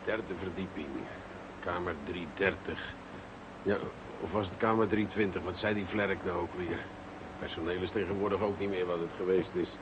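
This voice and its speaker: Actor as pompous police commissioner, muffled radio sound. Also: male voice, deep voice, fairly even intonation, moderate pace, lightly acted. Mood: grumbling. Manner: muttering to himself, blustery, self-important. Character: pompous, self-satisfied, bumbling male Dutch police commissioner. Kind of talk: radio drama acting. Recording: muffled, noisy old radio recording.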